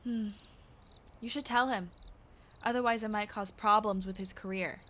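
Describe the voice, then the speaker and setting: A woman's voice: medium pitch, fairly even intonation, normal speed, fairly bright tone, slight background noise, close to an everyday voice. An actress playing a nosy, opinionated married woman in a radio play, speaking emphatically with swooping exclamations. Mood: cautioning.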